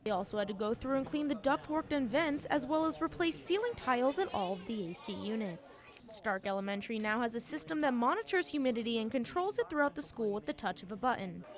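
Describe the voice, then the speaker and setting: High-pitched young woman reporting news. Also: lively intonation, a little fast, hardly any pauses. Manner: formal broadcast delivery.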